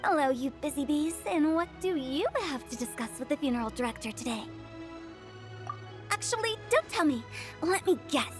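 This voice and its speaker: A woman's voice: high voice, expressive, normal speed. A woman playing a quirky young female funeral director in a video game, with a playful, theatrical storytelling manner. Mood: playful, teasing. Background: music.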